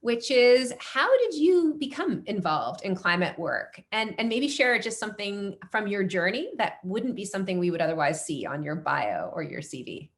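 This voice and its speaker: A woman's voice: medium pitch, sing-song, moderate pace, hardly any pauses. A woman interviewing a guest in a casual conversation, eager and wordy, with many fillers like "sort of" and "um". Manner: enthusiastic, wordy, full of fillers.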